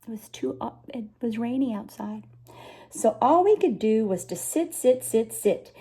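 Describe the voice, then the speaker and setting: A woman's voice: on the high side, expressive, unhurried, mellow, husky. An older woman from the United States reading a storybook aloud to young children, expressively, with chatty asides.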